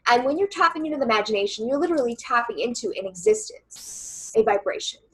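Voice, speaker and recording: A woman channeler and coach with a loud voice, earnestly talking a listener through a writing meditation in a casual interview over a video call. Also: fairly high pitch, lively intonation, quick pace, husky, rough recording. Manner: earnest and reassuring, many fillers.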